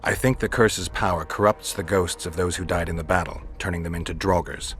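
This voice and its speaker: An actor playing a gruff, sardonic monster hunter in a video game, terse and deadpan, with a gravelly voice. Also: male voice, low voice, a little fast. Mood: businesslike. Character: gruff, sardonic monster-hunting warrior for hire. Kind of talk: video game dialogue acting.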